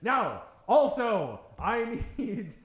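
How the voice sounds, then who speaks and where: A man's voice: sits high, sing-song, extremely slow. A man hosting a live improv show in mock old-time radio style, theatrical and tongue-in-cheek, addressing a theater audience. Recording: roomy, echoing theater stage.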